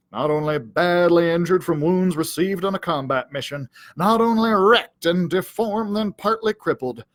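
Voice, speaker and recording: A man's voice: on the high side, a bit bright, no room sound. A man voicing a self-important, aggrieved cowdog who tells his storybook tale in a pompous, melodramatic, wordy way.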